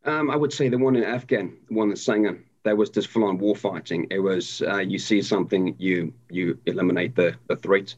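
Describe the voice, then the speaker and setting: Hesitant man, low voice. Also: a little fast, few pauses. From South Africa. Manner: hesitant, many ums and restarts. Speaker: guest, army veteran. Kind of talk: casual interview conversation. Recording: dull lo-fi sound.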